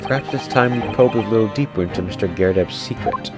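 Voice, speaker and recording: A man reading an earnest young lawyer, low-pitched and plain, asking his questions sincerely. Game music sits underneath. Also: quick pace, natural voice.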